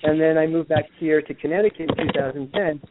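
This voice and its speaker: A man's voice: a little high, steady tone, fast talker. A man in his fifties from New York, hosting a casual discussion and talking earnestly, often searching for his words. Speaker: host. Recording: tinny phone-like line with faint noise.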